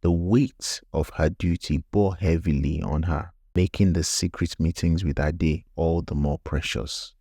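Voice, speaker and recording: Very deep, mellow male storyteller. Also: fairly even intonation, measured pace, hardly any pauses, no echo, studio quality. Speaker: narrator. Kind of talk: scripted folktale narration.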